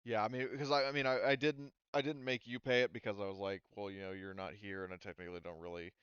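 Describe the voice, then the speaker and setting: Man, low crisp voice, casual game master, hedging explanations, dry slightly dull recording. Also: level tone, fast, fairly bright tone, dry recording. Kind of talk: casual tabletop game talk.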